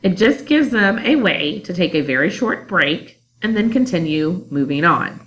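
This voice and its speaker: A woman teacher narrating a calm instructional screencast about online testing. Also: fairly low pitch, a little slow, poor audio. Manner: calm, explanatory.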